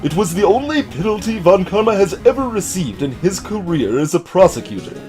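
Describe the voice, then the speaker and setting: A grave older gentleman lawyer from a video game, acted by a man, formal and deliberate. His voice sits on the high side and moves up and down expressively, with faint music behind. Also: big pitch swings. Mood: solemn, explanatory. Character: grave, formal older male lawyer recounting old cases.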